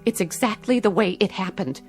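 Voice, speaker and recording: एक अभिनेत्री एक आक्रोशित युवा पत्नी की भूमिका निभा रही है, जो गंभीरता से अपने पति का बचाव करती है, पुराने टीवी नाटक में हल्के संगीत के साथ।. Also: female voice, medium pitch, brisk, close to an everyday voice. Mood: indignant. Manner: earnest, protesting. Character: indignant young doctor's wife defending her husband. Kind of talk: 1960s TV drama acting. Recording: old TV soundtrack with faint music.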